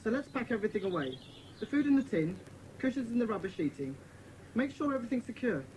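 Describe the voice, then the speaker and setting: Stern young schoolboy in charge, bossy children's drama delivery, clear background noise. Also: female voice, on the high side, sing-song. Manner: commanding, brisk, strict. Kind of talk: dramatised children's audiobook acting.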